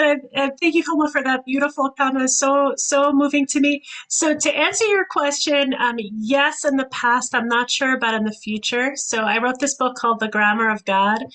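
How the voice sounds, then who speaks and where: A woman whose first language is Hebrew speaks as a guest author on a video call. She talks animatedly, often restarting phrases, in a somewhat high voice. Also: fairly bright tone. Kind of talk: casual panel discussion.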